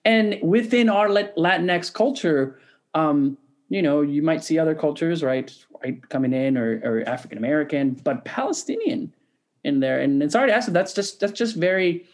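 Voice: medium pitch, animated, moderate pace. A podcast co-host asking a guest questions in a loose, rambling way, with many restarts. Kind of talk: casual interview chat.

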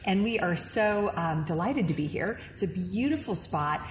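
A woman's voice: lowish voice, expressive, normal speed, slight background noise. A woman co-hosting a casual interview, gushing with praise. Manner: effusive, gushing. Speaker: podcast co-host. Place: winery tank room. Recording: roomy echo, faint ambience.

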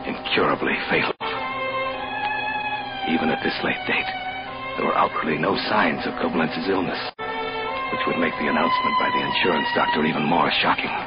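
Radio thriller schemer: deep, controlled, confessional, quietly menacing employee, on a dull vintage recording. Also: male voice. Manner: measured, confessional narration, meekly deferential in dialogue. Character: coldly scheming hotel employee secretly poisoning his boss. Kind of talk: old-time radio drama acting, first-person narration. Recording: dull old radio recording, loud music.

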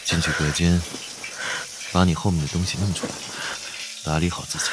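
Man acting cool, low-voiced wealthy gentleman. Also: fairly even intonation, fairly quick, very noisy, lightly acted. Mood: cold mocking. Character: cool, composed wealthy young gentleman, drily teasing. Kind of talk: Chinese radio drama acting.

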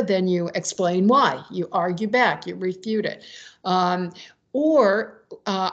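A woman speaking as an expert guest in an interview, explaining in an articulate, argumentative way and stressing her key points. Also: fairly low pitch.

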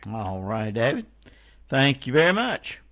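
A man's voice: medium pitch, very animated, measured pace, a bit bright. A man hosting a casual call-in swap show on local radio, laid-back, repeating details back to callers.

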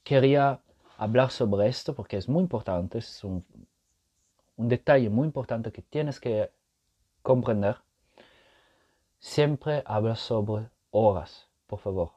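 A man's voice: medium pitch, slow, long pauses, dark tone, highs cut off. A man hosting a casual solo podcast, explaining his point patiently and often checking in with the listener, speaking Spanish as a learned language. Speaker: podcast host.

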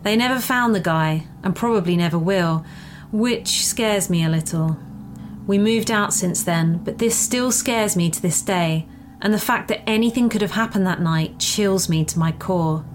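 A woman's voice: medium pitch, normal speed. A woman reading a first-person scary story as a scripted narration. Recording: faint background noise.

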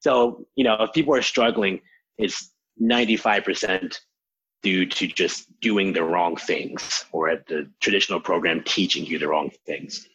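Husky low-voiced man explaining eagerly. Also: normal speed, muffled recording. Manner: animated explainer, acts out quotes. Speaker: language teacher, podcast guest. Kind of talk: conversational interview answers.

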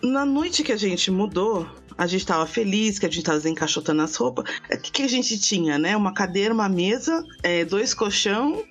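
A woman in her thirties tells a rambling podcast story at an easy middle pitch, circling back and restarting her sentences as she goes. Faint music sits low under her voice. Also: animated, a little fast. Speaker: podcast host. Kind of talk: casual storytelling chat.

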